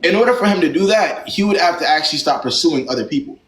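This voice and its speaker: Fast-talking blunt young man. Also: a little high, animated, a bit bright, reverberant. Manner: blunt, lecturing, slangy. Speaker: live-stream advice host. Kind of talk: blunt relationship advice to callers.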